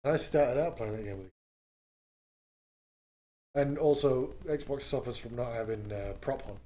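A young man with a low, buzzy voice, chatting casually and quietly as a podcast co-host. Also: measured pace. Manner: low-key, soft-spoken. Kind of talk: casual chat.

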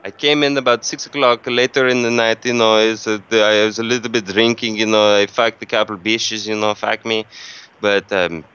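A man putting on an aggrieved, rambling foreign customer in a prank call, halting and repetitive with melodramatic delivery. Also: lowish voice, flat delivery, a bit bright, smooth, highs cut off, natural voice. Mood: defensive.

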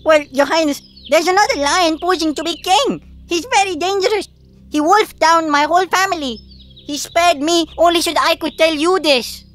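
A small, crafty male cartoon rabbit, high-pitched and bright. He is put-upon, excitable and quick-witted, with faint music behind. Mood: pleading. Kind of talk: animated cartoon acting.

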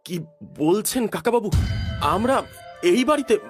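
A man plays a humble young father from a village in an audio story. His voice is fairly high and expressive, earnest and respectful, with music underneath. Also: unhurried. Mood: humble, grateful. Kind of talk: Bengali audio story acting.

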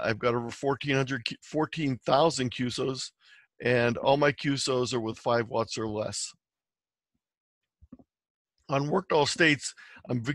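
A man's voice: mid-range voice, fairly bright tone, dry recording. A man giving an explanatory slide lecture in a plain-spoken, matter-of-fact, instructional way. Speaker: amateur radio presenter.

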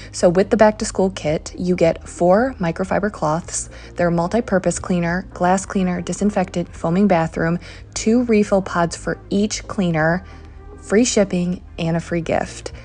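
Low-pitched American woman with creaky fry. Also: a little mellow. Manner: casual, conversational. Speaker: home cleaning vlogger. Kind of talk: chatty vlog voice-over with product promotion.

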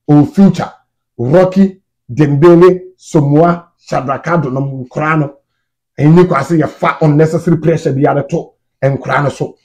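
A man's voice: on the high side, a little mellow. A Ghanaian man in his thirties giving casual, conversational football news commentary to the camera as a fan channel host. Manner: conversational, mixes Twi and English. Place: small indoor room at home.

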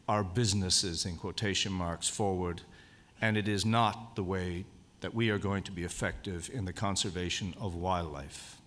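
A man with a very low voice delivers a formal lecture to a convention audience. He speaks in a measured, deliberate way and leans on key words for emphasis. His voice rings with the long reverb of a large hall. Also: fairly bright tone.

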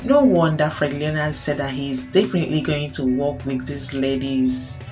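Low-voiced woman, chatty and excited. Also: normal speed. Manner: enthusiastic, chatty, addresses viewers as guys. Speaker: channel host. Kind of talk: scripted entertainment review voice-over. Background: soft guitar music.

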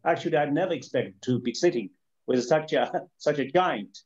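A man from China with a Chinese accent speaks off the cuff as a webinar panelist, arguing policy in a halting, explanatory way with rhetorical questions. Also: on the high side, expressive, darkish tone, lo-fi sound. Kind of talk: extemporaneous argument in a policy discussion.

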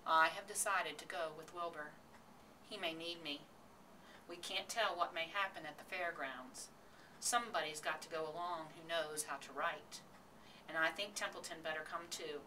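A woman voicing a calm, decisive female spider in a storybook, speaking softly and deliberately. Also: deep voice, far from the mic, slight background noise, rough recording. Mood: calm, resolved. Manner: soft, deliberate.

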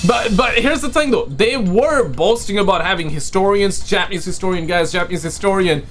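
A man's voice: high-pitched, animated, brisk, fairly bright tone. A man reacts on stream from a home room through a headset, with faint music playing under his voice. He speaks English as a second language, leans on slang and repeats his punchlines mockingly. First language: not English. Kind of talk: casual reaction commentary.

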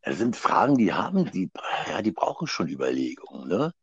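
A man's voice: sits low, wildly swooping pitch, brisk, slightly rough, dry recording. A man in his seventies, a guest actor talking by phone; he hesitates and searches for his words. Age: 70s. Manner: hesitant, laughing, hunting for words. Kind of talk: phone interview. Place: home, on the phone. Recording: dull phone line, dry.

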